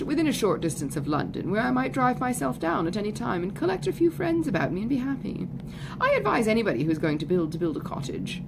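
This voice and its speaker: A woman voicing a conceited, foppish young gentleman in an audiobook, with a pompous, affected, effusive manner. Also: mid-range voice. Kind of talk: audiobook character voice. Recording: clearly noisy recording, background ambience.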